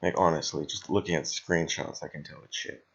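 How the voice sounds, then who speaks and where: A young man co-hosting a podcast in blunt, rambling, sweary casual chat, with a slightly raspy voice. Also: extremely low pitch, fairly even intonation, fairly quick, fairly bright tone, muffled recording. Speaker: podcast co-host.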